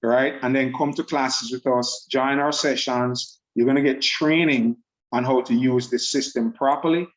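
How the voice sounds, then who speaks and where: A man, giving an upbeat, persuasive sales pitch about a trading program over a video call. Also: steady tone, normal speed, lo-fi sound. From Jamaica. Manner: upbeat, persuasive, repeats words.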